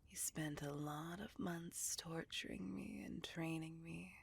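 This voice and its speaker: A woman voicing a centuries-old female vampire in an intimate ASMR roleplay, possessive, doting and teasing, in a soft, breathy, coaxing whisper. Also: sits low, slow pace, exaggerated voice. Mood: coaxing, tender.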